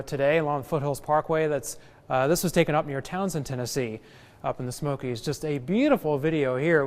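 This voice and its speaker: A man's voice: on the high side, in a large hall. A man with an American accent giving an unscripted television weather forecast. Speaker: TV meteorologist.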